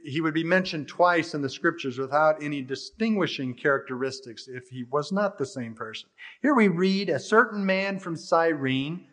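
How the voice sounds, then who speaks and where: An older American man preaching an expository sermon in a measured, deliberate way, stressing phrases and letting the endings fall away. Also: fairly high pitch, a bit bright. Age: older, about 60. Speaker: pastor. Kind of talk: expository sermon with scripture readings.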